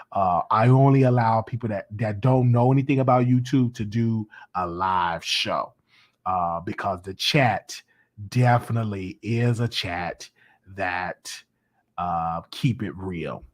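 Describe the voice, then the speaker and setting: A man hosting a casual live call-in show, chatty and animated, his voice worn from talking. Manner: animated, pitch jumps high when excited. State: losing his voice. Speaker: host.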